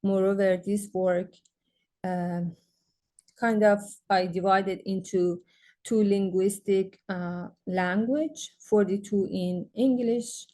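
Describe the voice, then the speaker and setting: A young woman with a Persian accent presents her art at a symposium, speaking slowly in a mellow, dark tone. She reads from prepared text but often stumbles into fillers and restarts. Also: mid-range voice, fairly even intonation. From Iran. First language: Farsi. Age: young adult. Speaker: graduate student artist presenting.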